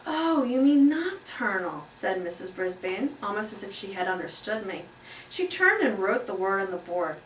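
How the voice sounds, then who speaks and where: A woman reading a children's storybook aloud in an expressive style, as a school principal would. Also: medium pitch, moderate pace. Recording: roomy echo, distant mic, faint noise.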